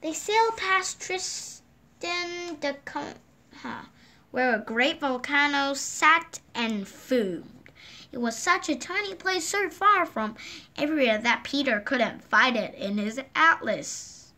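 A woman with a high, clear, bright voice reads a children's storybook aloud. She takes her time, pausing between phrases. She lifts her voice for each character's quoted line. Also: lively intonation. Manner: slow, gentle read-aloud pacing with lifted voices for quoted lines. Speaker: storybook reader. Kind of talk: reading a picture book aloud. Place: indoors at a table. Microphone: phone or camera filming the book from above.

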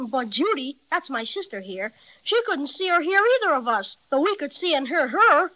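A plucky, eager young boy with a high, lively voice. It comes through a dull, lo-fi 1930s transcription. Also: exaggerated voice. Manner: brisk, plucky. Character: eager young boy, bold big brother.

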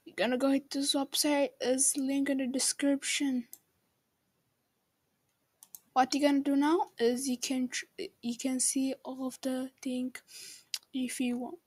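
Her voice is high and fairly flat in intonation. She narrates a casual tutorial as its host, slowly and haltingly, often repeating a word before moving on. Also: no room sound. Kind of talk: casual screen-recorded how-to narration.

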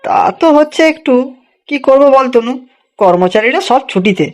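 Cartoon part: busy, quick-tempered village shop man played by a woman, high-pitched, expressive. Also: lightly acted. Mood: weary, kindly. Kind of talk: children's cartoon dialogue.

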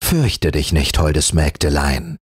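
A man voicing a gentle young prince in a fairy tale who speaks calmly and reassuringly. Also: rumbling low, takes it slowly, breathy edge, no room sound, studio quality, pitched below the performer's own voice, heavily acted. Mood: tender. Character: tender young prince, noble and calm.

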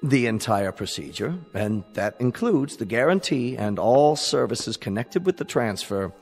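A man's voice: mid-range voice, lively intonation, unhurried, vocal fry. An actor playing a courteous, businesslike American salesman in a radio drama, formal, polished and politely persuasive. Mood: smoothly persuasive. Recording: reverberant radio drama with music underneath.

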